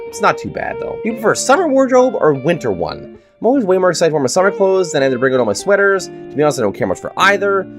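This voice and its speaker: A YouTuber with a stuffed-up nose, casually reading quiz questions aloud and giving chatty, opinionated answers. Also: mid-range voice. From Canada. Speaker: YouTuber host.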